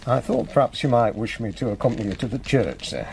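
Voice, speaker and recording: An actor playing a stiff, formal and deferential local agent, speaking in a measured way in a radio drama. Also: male voice, medium pitch, lively intonation, vocal fry, darkish tone, a little hiss or hum. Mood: reserved, formal.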